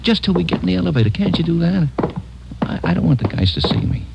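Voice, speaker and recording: A man's voice: fairly high pitch, lively intonation, fast talker, gravelly, very noisy. An actor playing an anxious, pleading American crime suspect in his thirties in a 1950s radio drama. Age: 30s. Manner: pleading, hesitant. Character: anxious, pleading male hijacking suspect in handcuffs. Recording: vintage radio recording with music behind.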